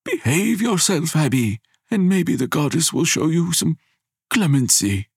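A man voicing a weary, humble elderly village grandfather who speaks deferentially and gently. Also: fairly high pitch, big pitch swings, unhurried, breathy edge, no room sound, studio quality, pitched above the performer's own voice, exaggerated voice. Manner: deferential, soothing.

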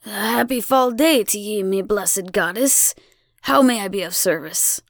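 A woman's voice: mid-range voice, measured pace, dry recording, studio quality, close to an everyday voice. A woman voicing a nervous, obsequious male brewer who speaks in an old-fashioned way. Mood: fawning. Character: nervous, fawning middle-aged male brewer.

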